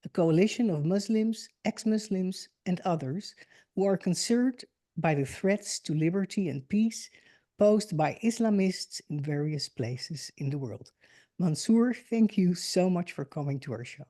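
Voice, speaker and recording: A woman's voice: on the low side, animated, unhurried, dark tone. A woman hosting a podcast, politely introducing her guest and asking questions in a formal manner. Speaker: podcast host. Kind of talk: interview hosting.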